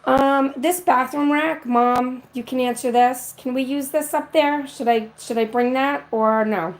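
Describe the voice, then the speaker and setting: Woman vlogger, raspy from a cold, slow and unhurried, sits a bit high, casual livestream chatter. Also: steady tone. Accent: New England. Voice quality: hesitant, many ums and uhs. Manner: slow, walking through rooms listing items. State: raspy from being sick. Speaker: vlog host on a livestream. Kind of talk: casual livestream chat, reading comments. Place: apartment being packed up. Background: road noise.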